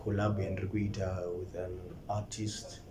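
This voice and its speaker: A young man with a Zimbabwean accent, a musician guest giving calm, short answers in a studio interview. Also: deep voice, moderate pace. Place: indoor TV studio. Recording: roomy studio with faint noise.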